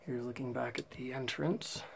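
A man's voice: medium pitch, steady tone, normal speed, creaky, a little hiss or hum. A man filming and casually narrating a walk through an old mine site outdoors, thinking aloud with fillers on a camera mic. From Canada. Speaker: mine explorer filming a walkthrough.

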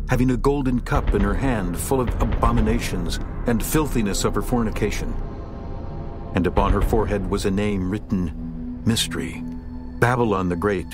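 A man narrating scripture in a slow, solemn, stately reading over music. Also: on the low side.

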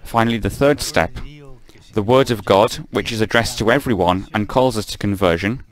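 Man, lower-pitched voice, live sermon interpreter, measured delivery, occasional slips and restarts. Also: poor audio. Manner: measured, with frequent stumbles and self-corrections.

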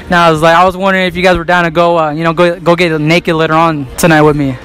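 A man's voice: fairly high pitch, little pitch movement, fast, a bit bright, smooth, rough recording. A young man running a prank street interview in a shopping mall, talking into a handheld mic with cocky slangy banter and frequent laughs. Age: young adult. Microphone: handheld mic held near mouth.

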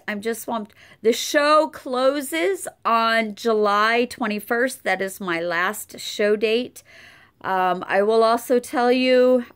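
Slow, smooth-voiced tired woman vlogging. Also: fairly high pitch, a bit bright. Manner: chatty, unhurried, lots of fillers. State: tired, losing her voice. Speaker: channel host. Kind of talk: casual update vlog. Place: cluttered room at home. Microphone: webcam close up.

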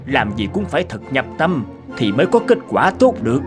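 Animated, stately cartoon king played by a man. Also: medium pitch, a little fast, natural voice. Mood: reflective, grateful. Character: proud, competitive bearded king, humbled and reflective. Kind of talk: cartoon folk tale dubbing. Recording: prominent music behind the voice.

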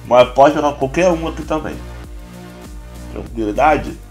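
A Brazilian man with a hoarse, congested voice, chatting casually through a game tutorial as a YouTuber. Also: mid-range voice, measured pace, faint music behind. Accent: Brazilian Portuguese. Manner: relaxed, chatty, constant filler address to viewers. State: hoarse, stuffy nose and cough. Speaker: gaming YouTuber.

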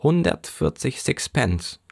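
A man's voice: mid-range voice, big pitch swings, takes it slowly, vocal fry, darkish tone, no room sound, clean studio sound, voice pushed up, exaggerated voice. A man voicing a pompous, self-satisfied parish beadle lecturing an orphan boy in an audiobook. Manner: pompous, self-important, threatening.

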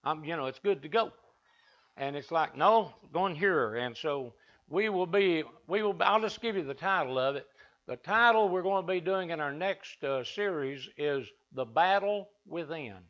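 A man's voice: fairly high pitch, animated, moderate pace, fairly bright tone. An older man with an Appalachian accent, preaching a folksy sermon to his congregation in a wood-panelled church. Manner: down-home, talks to the congregation and asks them back. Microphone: lectern mic from a far camera. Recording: dull lo-fi church recording.

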